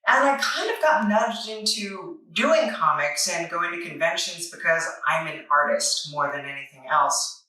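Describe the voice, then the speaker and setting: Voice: mid-range voice, lively intonation, measured pace, bright, forceful tone. A playful, tongue-in-cheek host giving a casual review with asides. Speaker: host of a comic book review show. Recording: echoey, distant microphone, music underneath. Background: music.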